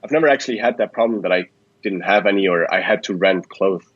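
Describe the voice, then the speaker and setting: Low-voiced man, native Swiss German speaker. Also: fast, no room sound, highs cut off. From Switzerland. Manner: thoughtful, many ums and restarts. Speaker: guest. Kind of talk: relaxed interview answers.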